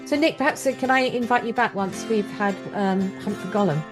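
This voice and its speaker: A woman's voice: medium pitch, brisk, music in the background. A middle-aged woman hosting a podcast interview in a conversational style.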